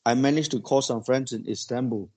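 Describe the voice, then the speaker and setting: A man recounts a story to a small group. He talks in a halting, conversational way, restarting his phrases and filling the gaps with "you know." His voice sits at an ordinary middle pitch. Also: a little mellow.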